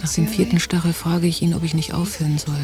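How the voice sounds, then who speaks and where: An actress playing a watchful woman on holiday, narrating a radio drama in a measured, introspective way. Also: fairly low pitch, steady tone, natural voice. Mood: observant. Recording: 1990 radio drama, music behind.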